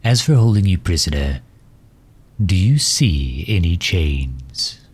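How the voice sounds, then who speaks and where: A man playing a courtly megalomaniac villain in an ASMR audio drama, speaking formally and with measured, gentle solicitude. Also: big pitch swings, exaggerated voice. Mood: calm, persuasive. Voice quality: husky, low. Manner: formal, measured, silky endearments.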